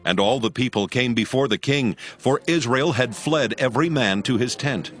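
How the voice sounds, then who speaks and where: A man narrating dramatized scripture in a measured, storytelling manner. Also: medium pitch, a bit bright. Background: music.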